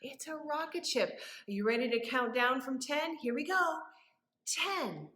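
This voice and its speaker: A woman with a high voice speaks slowly to young children in an echoey room. She leads story time in a sing-song, encouraging manner, full of exaggerated wonder and simple questions. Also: lively intonation, pushed and bright.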